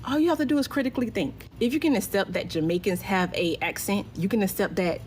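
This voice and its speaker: A woman's voice: medium pitch, fast, a little mellow. American woman lecturing firmly, bit of background noise. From United States. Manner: firm, lecturing. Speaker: commentator. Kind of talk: explanatory commentary clip.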